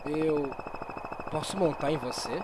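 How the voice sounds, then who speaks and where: A man's voice: fairly high pitch, a little slow, noisy background. A man with a Brazilian accent playing a cheerful, dim-witted young hero in a comedic anime parody dub. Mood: naive, upbeat. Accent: Brazilian Portuguese. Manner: naive, guileless.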